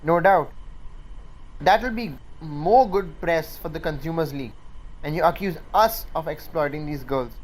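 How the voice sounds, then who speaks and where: Smug company lawyer young performer acting, medium pitch, measured, mocking, radio drama. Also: natural voice, low-quality recording. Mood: mocking, confident. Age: young adult. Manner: measured, sardonic. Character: smug, condescending male corporate lawyer.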